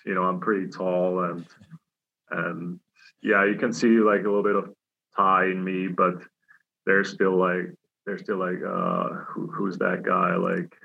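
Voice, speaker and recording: A man's voice: deep voice, vocal fry, breathy edge. A young man from Switzerland, a hockey player, answering interview questions casually with many fillers and restarts. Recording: dull lo-fi sound.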